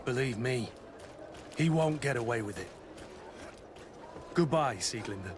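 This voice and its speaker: An actor playing a regretful, earnest soldier in a video game. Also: male voice, mid-range voice, expressive, takes it slowly. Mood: bitter resolve. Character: betrayed, regretful soldier mentor of the Guard. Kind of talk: dramatic video game dialogue. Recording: clear outdoor ambient noise behind.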